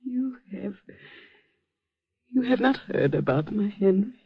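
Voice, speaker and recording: An actress playing a mournful widow in a 1950s radio drama. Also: female voice, mid-range voice, animated, takes it slowly, gravelly, slightly rough, no room sound, heavily acted. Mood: wary, startled. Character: grieving foreign widow, middle-aged or older, theatrically mournful. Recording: muffled old 1950s radio recording.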